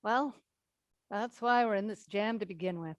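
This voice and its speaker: A woman's voice: medium pitch, normal speed, no echo, muffled recording, natural voice. A woman acting an anxious, earnest character in a staged reading of a play. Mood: earnest, pleading.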